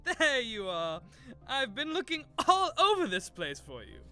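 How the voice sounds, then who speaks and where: Performer as a scatterbrained adventurer, cheery, bright forceful voice, lively intonation, audio drama. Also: high voice, unhurried, faint music behind, heavily acted. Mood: breezy, cheerful. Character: cheery, scatterbrained, bearded adventurer called Charles. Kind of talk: scripted audio drama dialogue.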